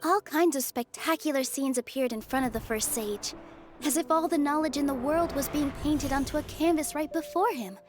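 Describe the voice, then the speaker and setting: A gentle young dancer, voiced by a woman in a video game, with a high, girlish pitch. The delivery is earnest, wide-eyed and expressive, with faint music underneath. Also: moderate pace, lightly acted. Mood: curious, storytelling.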